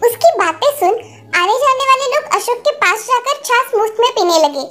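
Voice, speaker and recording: A woman's voice: extremely high pitch, expressive, moderate pace, a bit bright. A woman reading a scripted moral story for children as narrator. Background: music.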